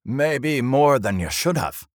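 Man doing a gruff, growling dwarf warrior. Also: mid-range voice, animated, slow pace, dry recording, studio quality, voice pushed up. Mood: grave, warning. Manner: folksy, says lassie and laddie. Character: gruff, earthy, battle-worn male dwarf warrior.

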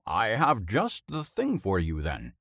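A man's voice: lowish voice, wildly swooping pitch, measured pace, vocal fry, a bit bright, no room sound, studio quality, exaggerated voice. A man voicing a pompous male headmaster in an audiobook, speaking with wordy, old-fashioned formality. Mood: officious.

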